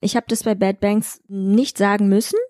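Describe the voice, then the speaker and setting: A woman's voice: medium pitch, level tone, normal speed, a little mellow, no room sound, clean studio sound. A woman from eastern Germany, an actress, talking candidly and colloquially in a casual interview. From Chemnitz, Germany.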